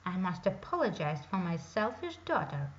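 A woman's voice: fairly low pitch, big pitch swings, normal speed, slight background noise, voice pushed down. A woman voicing a stern, commanding king and father, in a dull lo-fi recording. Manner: stern, authoritative.

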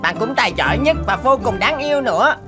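A woman voicing a sly, mischievous monkey in a children's cartoon, playful and scheming with a sing-song flattering way. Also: fairly high pitch, fast talker, music in the background, close to an everyday voice. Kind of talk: animated children's cartoon dubbing.

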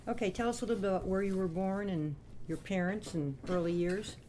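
A woman conducting an oral history interview at a home. Her voice is mellow and soft-edged, at a middle pitch, and she asks short questions to keep the story moving. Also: fairly even intonation, fairly quick, a little hiss or hum. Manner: reads the formal introduction, then asks brief prompting questions.

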